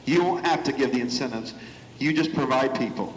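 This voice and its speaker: A man's voice: mid-range voice, a little fast, a little hiss or hum. A man with an Indiana accent speaking as a guest speaker in a banquet hall, making emphatic, punchy points. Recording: echoey reverberant hall.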